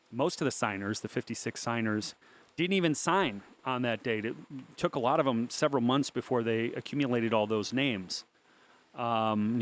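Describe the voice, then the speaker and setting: A man hosting a laid-back podcast chat, talking his co-host through slides at an easy pace. His speech is loose and full of "um" and "uh" as he reads out facts. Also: mid-range voice. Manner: relaxed, hesitant, many fillers.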